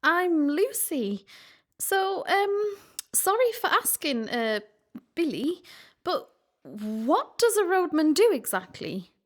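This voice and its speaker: A woman's voice: extremely high pitch, sing-song, slow pace, exaggerated voice. A woman playing a curious young woman on a ghost hunt in a radio play, inquisitive and easily spooked. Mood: bewildered. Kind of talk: community radio play acting.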